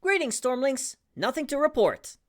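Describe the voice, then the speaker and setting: A chipper video game gatekeeper guard, acted out by a woman, pitched high with a bouncy swing. The greeting is dutiful and upbeat, delivered like a routine report. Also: big pitch swings, moderate pace. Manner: bright salute-like greeting, formulaic reporting.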